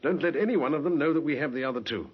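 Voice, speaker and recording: An actor playing a wry, patient police inspector in an old radio drama, questioning with dry sarcasm. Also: male voice, a little high, racing, background noise, close to an everyday voice. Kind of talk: 1950s radio drama acting. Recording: muffled old radio recording.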